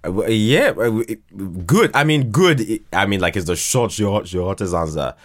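A man chatting casually as a podcast guest, halting and often repeating words as he finds his way. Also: on the low side, lively intonation. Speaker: podcast guest, fiction podcast creator. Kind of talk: casual chat.

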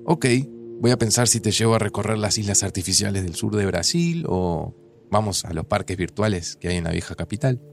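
A man's voice: on the low side, lively intonation, fairly quick, vocal fry, soft background music. An actor playing a wry, affectionate Argentine man in a naturalistic audio drama. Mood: calm, affectionate. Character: wry, affectionate male friend of a time traveller.

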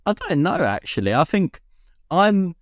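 A man's voice: on the high side, big pitch swings, measured pace, no echo. A man hosting a podcast in casual, matey, self-deprecating chat. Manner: matey, self-deprecating, often adds "mate". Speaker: podcast host, racing driver.

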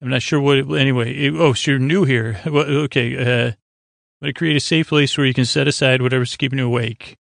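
A man with a creaky voice hosting a sleep podcast, talking in a halting, rambling, gently goofy way that drifts into repetition and wordplay. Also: mid-range voice, steady tone, no echo, clean studio sound. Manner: meandering, halting, repeats and trails off into wordplay. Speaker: podcast host. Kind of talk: rambling bedtime talk to help listeners fall asleep.

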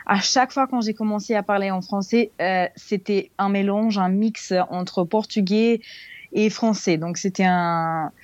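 A woman's voice: mid-range voice, measured pace, darkish tone, no room sound, highs cut off. Hesitant young woman from Serbia. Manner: hesitant, searching for words in a second language. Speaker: interviewee, former exchange student. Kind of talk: interview answers.

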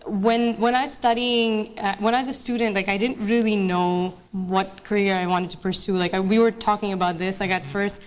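A young woman from Bangladesh answers questions in front of an audience. Her talk is casual and chatty, full of fillers and restarts. Her voice sits at a middle pitch. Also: fairly even intonation, normal speed, hardly any pauses. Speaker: guest speaker, investment analyst. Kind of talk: casual onstage interview.

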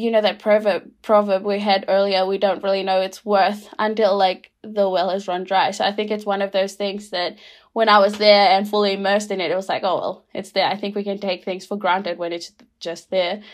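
A young woman from Rotuma talks as a podcast guest in a loose, reflective way. Her speech is full of fillers, and her laughter comes easily. Her voice sits at an ordinary middle pitch. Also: flat delivery, moderate pace. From Rotuma, Fiji. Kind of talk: casual reflective conversation.

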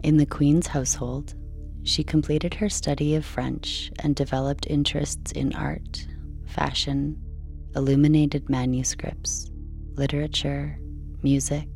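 A woman reading a scripted bedtime story in a slow, measured, soothing delivery. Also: low-pitched, flat delivery. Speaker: sleep story narrator. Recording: soft music behind.

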